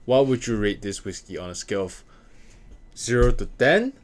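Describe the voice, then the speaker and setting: A man's voice: medium pitch, animated, moderate pace. A man hosting a casual talk podcast, giving verdicts in wordy, mock-formal phrasing. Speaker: podcast host. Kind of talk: casual chat with review-style verdicts.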